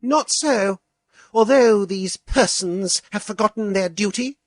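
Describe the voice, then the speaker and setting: A man's voice: extremely high pitch, lively intonation, measured pace, no echo, pitched above the performer's own voice, full character voice. A man voicing a scornful, sarcastic young princess in a storybook reading, with a cutting, haughty manner.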